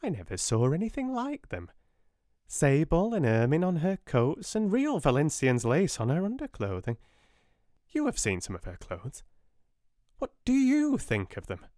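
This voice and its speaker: A man's voice: on the high side, wildly swooping pitch, unhurried, a little mellow, no echo, studio quality, pitched above the performer's own voice. A man voicing a timid, good-natured, flustered woman in a storybook, with a fussy, astonished manner.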